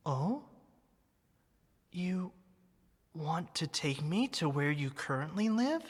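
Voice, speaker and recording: A woman voicing a gentle, wistful, loving teddy bear in an ASMR roleplay, speaking softly and tenderly. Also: lowish voice. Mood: gently declining. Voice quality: soft, breathy. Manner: slow, tender, sentimental. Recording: long reverb.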